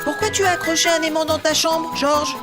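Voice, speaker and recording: A young boy train in a children's cartoon, with a very high, emphatic voice. He is earnest, excitable and protective of his friends, over loud music. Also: brisk. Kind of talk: French children's cartoon dub.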